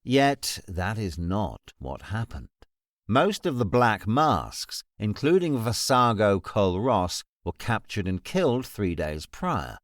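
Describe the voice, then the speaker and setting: Cold all-knowing ruler for fantasy audiobook , male actor: cutting, deliberate, formal, widely varied intonation, close dry sound. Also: on the low side, big pitch swings, dry recording. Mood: coldly accusing. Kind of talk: full-cast fantasy audiobook acting.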